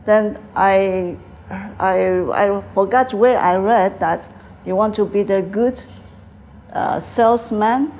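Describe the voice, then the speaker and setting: An older woman from Taiwan with a Taiwanese accent, answering interview questions in halting English with frequent fillers. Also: mid-range voice, a little mellow, slight background noise. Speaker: guest, tea house owner.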